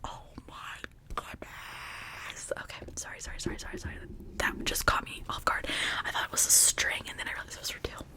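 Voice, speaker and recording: A woman speaking in a breathy whisper, rambling informally in a chatty ASMR style. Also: brisk. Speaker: ASMR creator showing and unboxing toys.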